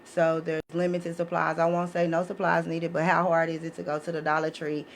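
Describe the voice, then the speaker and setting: A woman's voice: sits low, monotone, brisk. American woman, emphatic, over background noise. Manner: emphatic, punctuates points with check-in questions. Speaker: podcast guest presenting her educational book series. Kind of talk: casual conversational explanation. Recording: clearly noisy live podcast recording.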